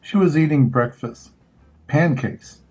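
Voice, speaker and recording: Slow-reading grandfatherly man, slightly husky. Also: medium pitch, a little mellow, soft background music. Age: older. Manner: measured, pausing between short phrases. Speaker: grandfather reading picture books aloud. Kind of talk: children's storybook read-aloud.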